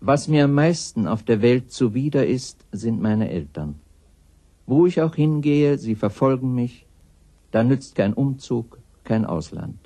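A man's voice: mid-range voice, lively intonation, unhurried, warm tone. An actor delivering a literary radio monologue as a sardonic, exasperated man with a dry manner. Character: sardonic, exasperated narrator disgusted by his parents.

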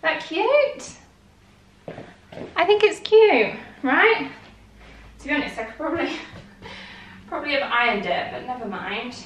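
A woman's voice: high-pitched, animated, unhurried, long pauses, highs cut off, slight background noise. Chatty British woman in an echoey room. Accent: British English. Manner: chatty and upbeat, easily startled. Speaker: home vlogger. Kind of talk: casual clean-with-me narration. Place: living room at home, indoors. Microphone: camera mic from across the room. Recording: roomy, distant camera sound.

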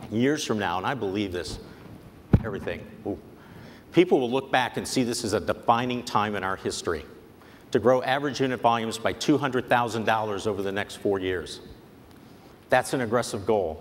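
Executive keynote: man, 40s, fairly low voice, persuasive and earnest, stage headset mic in a large echoing convention hall with a faint rumble. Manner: measured, earnest, persuasive delivery. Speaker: company executive giving a keynote. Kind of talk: prepared convention speech. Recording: long hall reverb, faint background noise.

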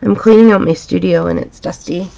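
A woman teaching a drawing pattern step by step in a calm, chatty, reassuring way, her voice a little raspy. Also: lowish voice, fairly quick, highs cut off. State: slightly raspy from a dusty room. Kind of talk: relaxed step-by-step drawing tutorial. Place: home art studio, indoors.

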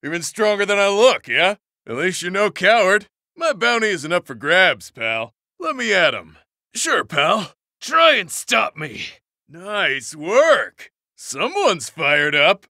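A brawny, boisterous young fighter from a video game. He throws out brash, slangy one-liners at an unhurried pace, with a bright, punchy tone and a close, dry sound. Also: sits high, sing-song, no room sound, full character voice. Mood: battle-hungry, fired up. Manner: brash, slangy short exclamations. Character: cocky, brawny young male brawler, boisterous and friendly. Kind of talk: video game battle voice clips.

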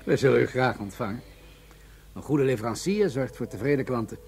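An actor playing a composed, authoritative drug buyer, clipped and businesslike, in a Dutch radio play. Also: male voice, medium pitch, expressive, brisk, gravelly, darkish tone. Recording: noisy radio drama recording.

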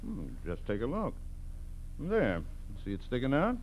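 An American actor playing a mild, puzzled car owner in a 1940s radio drama. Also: male voice, medium pitch, wildly swooping pitch, a little slow. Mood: puzzled, polite. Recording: noisy, humming vintage radio recording.

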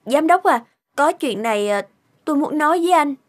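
A woman's voice: high voice, expressive, fairly quick. A woman voicing a polite, hesitant young office secretary in a cartoon. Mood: hesitant, concerned. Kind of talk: Vietnamese cartoon dubbing.

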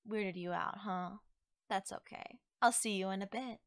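A woman voicing a playful, cryptic, teasing witch in an ASMR audio roleplay, coy and given to musing pauses. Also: mid-range voice, dry recording, studio quality, natural voice. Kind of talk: ASMR audio roleplay, acted straight to the listener.

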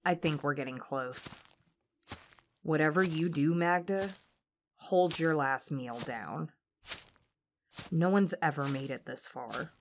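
A woman playing a bossy, composed female demon adventurer in an audio drama, speaking in a measured, commanding way. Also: deep voice, gravelly, slight background noise, full character voice. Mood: wary.

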